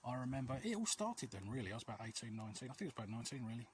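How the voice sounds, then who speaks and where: A man with a mid-pitched voice records a casual walking vlog outdoors, with clear noise and clicks around him. He talks in a hesitant, rambling way, confiding in listeners and putting himself down a little. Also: big pitch swings, very fast. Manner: hesitant, rambling, self-deprecating. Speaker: vlog host. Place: outdoors, quiet street and alleys.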